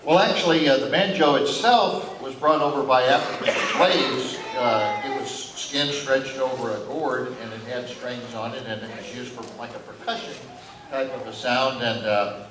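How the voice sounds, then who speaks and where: A man gives casual explanations as a musician guest in a live show. His voice has an average pitch. It comes from some distance in an echoey room. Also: moderate pace. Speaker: banjo player, interview guest. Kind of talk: casual explanatory talk on stage. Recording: echoey hall, distant noisy microphone.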